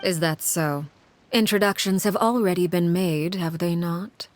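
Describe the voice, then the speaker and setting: A woman's voice: lowish voice, fairly even intonation, a little slow, no room sound, natural voice. An actress playing a cool, enigmatic, commanding woman leader in a video game, poised and formal with a sardonic edge. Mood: cool, guarded. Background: music.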